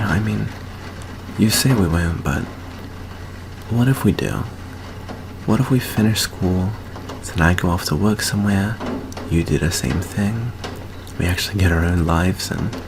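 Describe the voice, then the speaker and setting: A man playing an affectionate, anxious young student in an ASMR roleplay, speaking softly and hesitantly in an intimate hush. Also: sits low, creaky, background noise. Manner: soft, hushed, hesitant, intimate. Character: anxious, affectionate young male vampire student, sentimental best friend.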